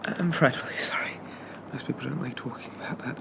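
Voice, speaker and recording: A man playing a shy, polite young zombie from a bygone era in a soft-spoken ASMR roleplay, stammering and apologizing in old-fashioned phrases. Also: medium pitch, fairly quick, gravelly. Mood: apologetic, awkward.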